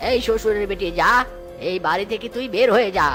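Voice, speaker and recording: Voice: mid-range voice, fast, fairly bright tone, faint music behind, natural voice. A performer voicing a blustering older male cartoon animal, a father-in-law, in exaggerated dialogue. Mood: stern, scolding.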